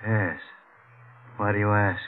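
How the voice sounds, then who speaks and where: An actor playing a courteous, unruffled eccentric musician, measured and formal, in 1940s radio drama style. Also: male voice, on the low side, steady tone. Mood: smooth, unsettling. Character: polite, eerily unruffled eccentric musician neighbour. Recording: noisy, narrow old radio recording.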